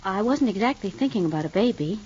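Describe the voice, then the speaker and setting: An actress playing a gentle, hesitant wife in a 1950s radio soap opera, with earnest delivery. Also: female voice, medium pitch, lively intonation, fast, lightly acted. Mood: hesitant, wistful. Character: gentle, hesitant wife hoping to adopt an older child. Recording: dull, noisy old radio recording.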